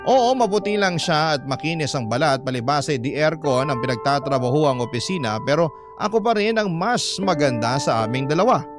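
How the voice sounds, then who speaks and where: A man's voice: fairly high pitch, animated, moderate pace, over music. A man with a Filipino accent dramatically reading a listener's letter in the first person as a radio drama narrator.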